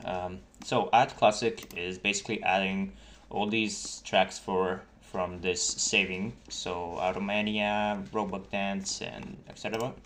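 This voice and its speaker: A man giving a casual, halting tutorial as a game developer, explaining things informally. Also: deep voice, flat delivery, a bit bright. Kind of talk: casual screen-recorded tutorial. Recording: noisy desktop mic with key clicks. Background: keyboard typing and mouse clicks.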